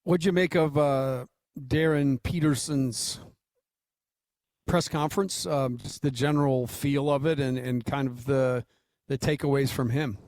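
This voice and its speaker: A man's voice: medium pitch, fairly even intonation, measured pace, darkish tone, no echo. Male sports radio co-host, dry sound. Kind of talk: casual sports radio interview.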